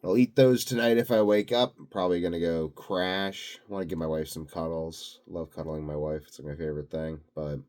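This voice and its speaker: A man's voice: deep voice, fairly even intonation, brisk, hardly any pauses, darkish tone. A tired, sleepy man rambling through an unscripted video diary in a dry, tongue-in-cheek, grandiose way. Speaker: vlogger, channel host.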